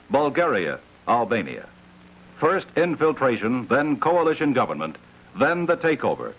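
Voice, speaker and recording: An American man in middle age narrates in the style of a 1960s propaganda film. His mid-pitched voice moves widely up and down as he stresses key words. The delivery is scripted, grave and authoritative, with deliberate phrasing. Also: fairly bright tone, rough recording. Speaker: documentary narrator.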